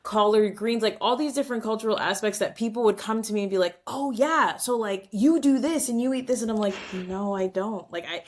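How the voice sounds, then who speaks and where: A woman's voice: medium pitch, brisk, hardly any pauses. A woman guest on a podcast interview, talking in a loose, reflective way, acting out quoted voices and using plenty of "like" fillers. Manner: animated, acts out quoted voices, many "like" fillers; reflective, long-winded explanations. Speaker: guest, culture strategist.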